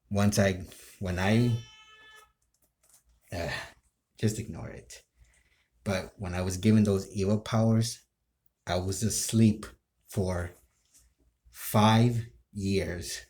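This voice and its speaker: Casting: man with a low voice and unhurried pace, casual rambling amateur storyteller who keeps interrupting himself. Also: a little mellow. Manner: halting, rambling, self-interrupting. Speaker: amateur role-play video creator. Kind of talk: casual improvised storytelling to camera.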